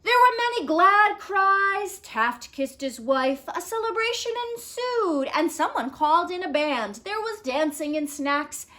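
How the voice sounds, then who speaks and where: High, bright-voiced woman reading to children. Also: lively intonation, unhurried, hardly any pauses. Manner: explanatory, addressing young listeners. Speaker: teacher reading a picture book aloud. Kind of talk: expressive children's read-aloud with explanations.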